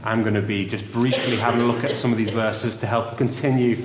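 A young man preaching and telling a story in a church, with a loud voice. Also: medium pitch, steady tone, fairly quick, background noise. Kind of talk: sermon with story. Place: church hall. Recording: roomy church with long reverb.

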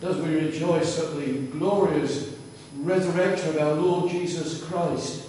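A man leading a devout, steady prayer aloud in church. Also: fairly high pitch, unhurried, slight background noise. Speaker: prayer leader. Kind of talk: read prayer. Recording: distant mic in echoey church.

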